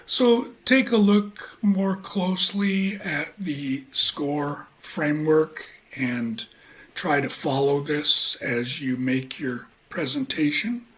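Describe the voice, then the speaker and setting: A man with a husky voice giving a relaxed spoken lecture as an English teacher, halting often with ums and uhs. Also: fairly high pitch.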